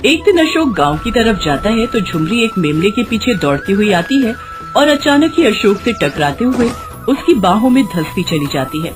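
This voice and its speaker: A woman narrates a scripted tale as a storyteller. Her voice sits at a medium pitch and swings widely in intonation. Music is clearly present behind her. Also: sing-song, moderate pace.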